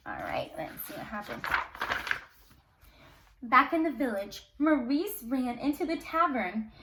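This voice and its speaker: Unhurried, high-voiced woman storyteller. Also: very noisy. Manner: expressive, dramatic storytelling. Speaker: storyteller, teacher. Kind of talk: read-aloud children's fairy tale with casual asides.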